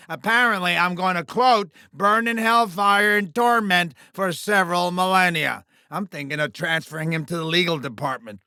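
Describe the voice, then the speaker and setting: A flamboyant alien TV-mogul villain from a video game, pitched high with a gravelly edge. He is bombastic and theatrical, buttering people up with phony affection while menace shows underneath. The sound is sharp, forceful and very close and dry. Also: no echo, heavily acted. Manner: bombastic, fake-friendly flattery sliding into menace. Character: scheming, egomaniacal alien TV producer villain, flamboyant showman.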